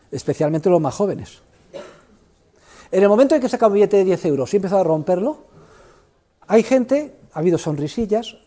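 An older man lecturing economics to a university class, theatrical and emphatic, swinging between loud outbursts and low asides. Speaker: economics professor.

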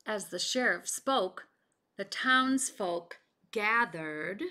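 A middle-aged woman reading a children's story aloud with deliberate, dramatic expression. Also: mid-range voice, lively intonation, pushed and bright. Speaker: picture book reader. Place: home kitchen, indoors.

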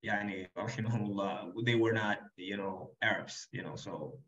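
A man's voice: fairly low pitch, moderate pace, a bit bright, lo-fi sound. Earnest man on a roomy video call. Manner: earnest, advising, many repeated words. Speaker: guest, Arabic teacher. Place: indoor room. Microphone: desk microphone on video call.

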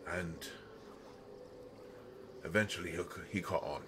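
A man playing a brutish, slow-witted but protective monster in an audio roleplay, speaking in halting phrases that swing from hushed to loud. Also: deep voice, noisy background. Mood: brooding, confiding. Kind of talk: intimate dramatic audio roleplay monologue.

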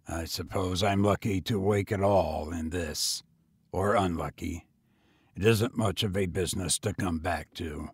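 A man voicing a weary, bitter gentleman tramp in a story, speaking in a grim, resigned, subdued manner. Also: low-pitched, measured pace, husky, dry recording, clean studio sound, pitched below the performer's own voice, heavily acted.

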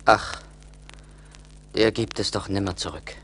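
A man's voice: on the low side, measured pace, fairly bright tone. An actor playing a troubled man full of regret in a radio play, brooding and earnest. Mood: pleading. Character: troubled, pleading man regretting his lost heart. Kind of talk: 1980s German radio play acting. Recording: faint hiss of old vinyl record.

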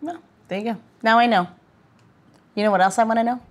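A woman's voice: on the high side, fast talker. An American woman hosting a podcast, chatting casually and asking questions. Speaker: podcast host.

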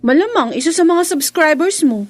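A doting young Filipino girlfriend in a radio drama. Her voice is very high and swings widely in pitch, expressive and attentive to her man. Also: normal speed. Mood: concerned, helpful.

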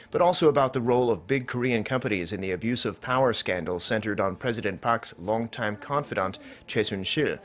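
A man in his thirties presenting scripted news as a studio anchor. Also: on the low side.

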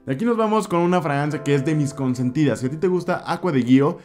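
A man's voice: fairly high pitch, fast, a little mellow, faint music behind. A young man in his twenties with a Mexican Spanish accent, casually talking through perfume picks in a vlog, repeating words for emphasis. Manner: chatty, gestures a lot, repeats words for emphasis. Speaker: fragrance reviewer. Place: indoor room with shelves of perfume bottles. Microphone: desk mic in front, camera at mid distance.

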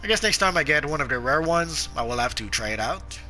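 Casting: man, sharp bright tone, sniffly sore throat, halting game-guide chatter with lots of "uh". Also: on the high side, animated, soft background music. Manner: halting, frequent "uh" fillers and restarts. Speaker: gameplay guide narrator. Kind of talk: casual game commentary.

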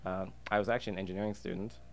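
Low-voiced Canadian man, noisy background. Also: steady tone, rapid-fire. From Alberta, Canada. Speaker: podcast guest, freelance illustrator and designer. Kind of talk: casual interview chat. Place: podcast booth inside a diner. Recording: clear background ambient noise.

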